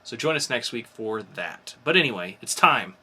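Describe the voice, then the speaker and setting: A man's voice: mid-range voice, expressive, normal speed, sharp tone, breathy edge. A man co-hosting a podcast, chatting casually and enthusiastically about entertainment news in an informal fan's way. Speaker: podcast co-host.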